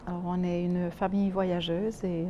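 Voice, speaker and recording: A woman's voice: on the low side, flat delivery, moderate pace, mellow, highs cut off, a little hiss or hum. A Swiss-born woman guest in a casual TV interview, talking hesitantly with many fillers and easy laughs. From Switzerland. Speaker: guest, community association president.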